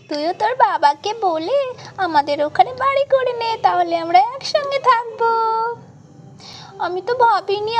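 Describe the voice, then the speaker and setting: A woman's voice: very high voice, slight background noise. A woman voicing playful, affectionate little doll girls in a children's puppet show, often exclaiming or pleading in a sing-song way.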